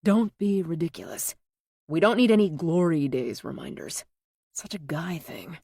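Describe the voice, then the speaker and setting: A woman's voice: low-pitched, lively intonation, normal speed, darkish tone, husky, no room sound, clean studio sound, full character voice. A woman voicing an earnest, slightly awkward man in an audiobook, restrained and plain-spoken. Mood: self-chiding.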